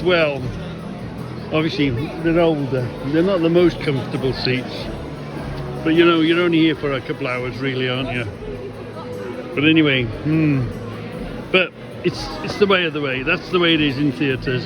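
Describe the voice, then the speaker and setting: Guy doing a casual vlog, slow rambly chat, bit high voiced, busy crowd behind him. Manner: rambling, repeats himself, asks the listener questions. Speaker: vlogger. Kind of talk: casual chatty vlog commentary. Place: out on a city street and inside a busy theatre auditorium. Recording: background music and crowd chatter in public spaces.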